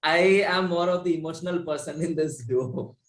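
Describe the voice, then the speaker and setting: Echoey-sounding young Indian man, slightly high voice. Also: a little fast. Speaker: musician, interview guest. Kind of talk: casual chat. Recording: echoey room.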